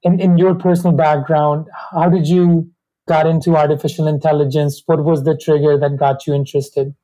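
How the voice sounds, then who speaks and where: Warm-voiced host introducing a guest. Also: male voice, on the high side, level tone, rough recording. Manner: measured, formal, slightly uneven grammar. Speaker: podcast host. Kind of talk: scripted intro and interview questions.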